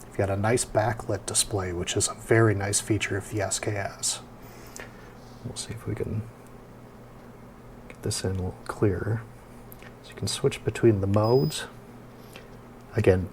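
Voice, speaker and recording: A man giving a casual hands-on product review, explaining things step by step in an unhurried way. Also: lowish voice, creaky, darkish tone, a little hiss or hum.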